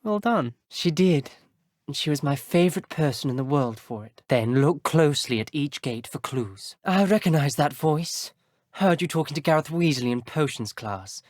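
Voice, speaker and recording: A man's voice: on the high side, lively intonation, normal speed, no room sound, clean studio sound, close to an everyday voice. A young man with a British accent voicing an earnest, guarded teenage wizard schoolboy in a video game, speaking in clipped, formal phrases. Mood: measured, wary. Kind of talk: video game character dialogue.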